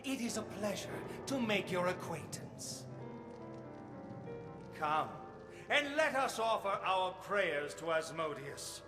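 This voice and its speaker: High-voiced, serene cult zealot. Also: male voice. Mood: serene, welcoming. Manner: unctuous, ceremonious, over-polite. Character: serene fanatical male cult leader, courteous doomsday zealot. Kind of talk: theatrical video game voice acting. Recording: echoey hall reverb over game music.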